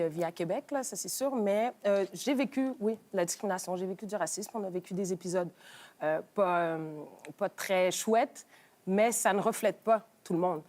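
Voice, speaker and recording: Chanteuse invitée qui hésite souvent. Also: female voice, mid-range voice. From Senegal. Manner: relaxed, hesitant with many fillers. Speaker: singer-songwriter guest. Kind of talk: talk show interview.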